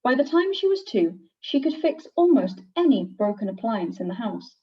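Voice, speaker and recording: A woman reading a children's picture book aloud in an expressive, deliberate teacher's storytelling manner. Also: fairly high pitch. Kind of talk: scripted picture-book narration. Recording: distant, slightly dull microphone.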